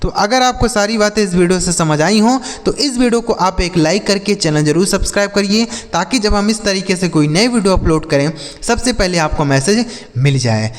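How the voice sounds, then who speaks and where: A man's voice: high-pitched, a little fast, hardly any pauses, long reverb tail. A man teaching English vocabulary, spelling each word letter by letter and repeating its meaning several times. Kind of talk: slow spelled-out vocabulary lesson.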